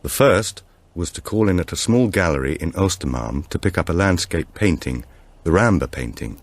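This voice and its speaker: A man reading a supernatural thriller audiobook in a measured, suspenseful storytelling manner. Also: low voice, animated, no echo. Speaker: audiobook narrator. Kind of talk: scripted narration of a supernatural thriller.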